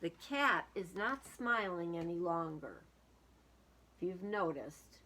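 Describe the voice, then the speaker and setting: Bright, unhurried woman reading to children. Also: lowish voice. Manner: expressive, musing aloud to children. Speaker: read-aloud teacher for young children. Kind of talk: storybook read-aloud with casual commentary.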